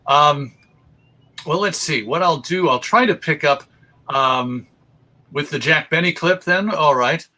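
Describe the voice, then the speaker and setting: A man's voice: a little high, a little slow, pauses often, pushed and bright. A man speaking casually and apologetically as he presents live over a video call. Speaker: guest presenter, radio show host. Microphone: video call microphone.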